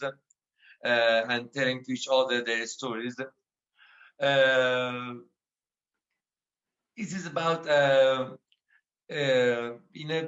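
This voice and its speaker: Slow, halting Afghan poet with an Iranian accent. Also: male voice, medium pitch, sharp tone, highs cut off. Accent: Iranian-accented English. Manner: halting, many filler pauses. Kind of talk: panel talk about his life and poetry.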